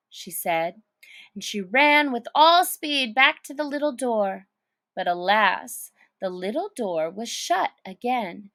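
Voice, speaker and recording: A woman narrating a children's story in an expressive, dramatic reading style. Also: a little high, dry recording, studio quality.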